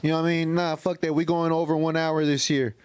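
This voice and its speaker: A man's voice: fairly high pitch, little pitch movement, fast talker, clean tone, no echo. An American man in casual, slangy, blunt banter as a podcast co-host.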